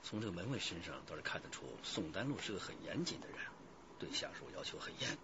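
An actor playing an authoritative, deliberate police detective chief in a radio crime drama. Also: male voice, low voice, big pitch swings, lo-fi sound, noisy background. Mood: calm, assured.

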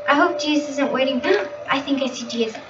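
A woman's voice: high-pitched, moderate pace. A woman playing along in an improvised video game roleplay. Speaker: player voicing an angel in a Minecraft roleplay. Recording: muffled, distant mic under loud music. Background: music.

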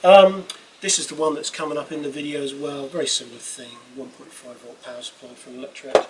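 A man in his fifties gives a casual product demonstration in an offhand, halting way. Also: medium pitch, steady tone, poor audio. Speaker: presenter demonstrating portable PA equipment. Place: small wooden cabin with doors open. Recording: clear background noise, open-room ambience.

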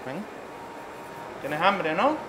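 A man's voice: fairly high pitch, very animated, takes it slowly. A middle-aged man, a chef, walking through a dish step by step in a professional kitchen, often checking in with his listener. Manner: explains steps, checks in with "vale". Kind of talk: cooking demonstration. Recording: noisy kitchen ambience.

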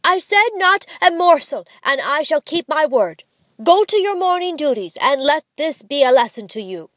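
Woman acting bossy, high-pitched little boy playing stern father. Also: very animated, normal speed, fairly bright tone, no echo. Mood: stern, pompous. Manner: pompous, emphatic scolding.

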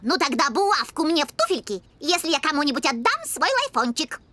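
A vain, sassy little girl in a cartoon. The voice is high and bright, recorded dry, with a haughty, teasing lilt. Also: sing-song, fairly quick, dry recording, exaggerated voice. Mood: smug. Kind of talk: animated cartoon voice acting.

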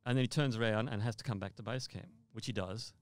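Older male podcast guest, low and resonant, conversational storyteller who rephrases as he goes. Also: quick pace, no room sound. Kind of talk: conversational first-person storytelling.

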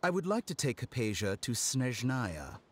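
A man's voice: medium pitch, big pitch swings, normal speed, a little hiss or hum, lightly acted. A man voicing a smug masked villain scholar. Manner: smooth, condescending, coolly polite. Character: suave, arrogant masked male villain scholar. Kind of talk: video game voice acting. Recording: game audio with soft music behind.

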